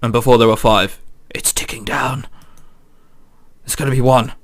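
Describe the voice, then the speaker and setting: A man commentating on a game and reading its text aloud in a casual, joking and animated way. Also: mid-range voice, moderate pace. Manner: animated, joking, frequent swearing and exclamations. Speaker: let's play host.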